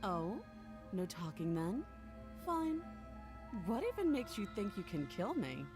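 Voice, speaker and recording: An actress voicing a chained princess in a game, cool, taunting and manipulative. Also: female voice, mid-range voice, sing-song, measured pace, over music. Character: chained princess, calm, menacing, manipulative young woman.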